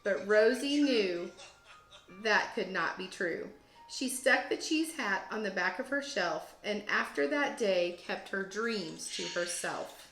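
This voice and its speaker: A woman teacher reading a children's storybook aloud with expressive, deliberate storytelling phrasing. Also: mid-range voice. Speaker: teacher, guest read-aloud host. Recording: roomy echo, music behind. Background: storybook app music.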